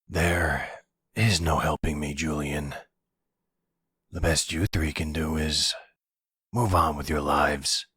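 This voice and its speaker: A man playing a weary, bitter exiled mafia father on the run in an audio roleplay, slow and brooding with a gravelly, husky voice. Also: extremely low pitch, fairly even intonation, fairly bright tone, no room sound, clean studio sound, exaggerated voice. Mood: resigned. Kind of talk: ASMR audio roleplay drama.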